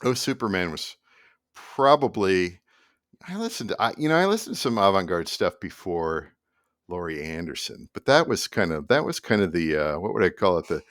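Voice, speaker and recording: Deep-voiced middle-aged man, casual and rambling. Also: big pitch swings, a bit bright, no room sound, clean studio sound. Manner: informal, digressive, halting. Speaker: musician and vlogger. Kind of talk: casual rambling explainer to camera. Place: small indoor room at a desk.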